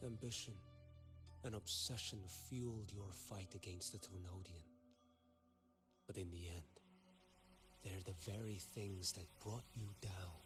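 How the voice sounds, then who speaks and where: A cold, stern fighter in a fantasy game. The voice sits low, with grave, measured accusation in it, over prominent background music. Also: male voice, steady tone. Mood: stern, accusing. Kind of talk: dramatic fantasy game voice acting.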